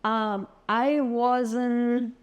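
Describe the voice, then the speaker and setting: A middle-aged woman from South Korea, whose first language is Korean, talks as a guest in a home interview. She speaks with halting pauses and many fillers. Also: on the high side, fairly even intonation, clean tone, lo-fi sound. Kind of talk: casual interview. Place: home studio.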